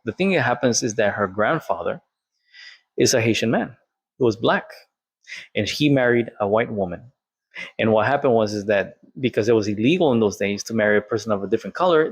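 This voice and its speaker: A man's voice: lowish voice, husky, no echo. A man, telling a personal story in a candid, conversational monologue and quoting what others said. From Colombia. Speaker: vlogger.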